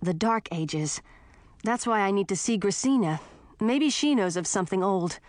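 A woman's voice: mid-range voice, expressive, normal speed, dry recording, lightly acted. A woman voicing a bold, earnest young princess with magic powers, insistent and forthright, in a storybook reading.

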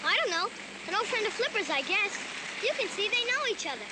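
A woman's voice: extremely high pitch, sing-song, fairly quick. A performer playing a curious, innocent young boy in a television drama, speaking in an eager, naive way. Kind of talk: acted television drama dialogue. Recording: loud outdoor water and engine noise.

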